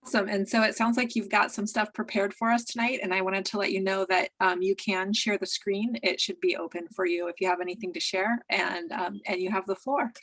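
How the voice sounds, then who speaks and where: A woman moderates an online event over a video call. She sounds upbeat and welcoming as she hands the floor to the speaker. Her voice sits at a medium pitch. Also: fairly even intonation, a little fast, few pauses, fairly bright tone, low-quality recording. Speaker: event host.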